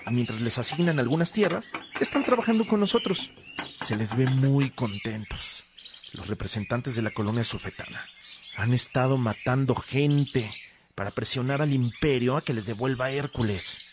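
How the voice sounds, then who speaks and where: A man's voice: mid-range voice, animated, moderate pace, background noise, lightly acted. A man acting as an earnest churchman in a radio drama. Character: Evodio, earnest male churchman among brothers in faith.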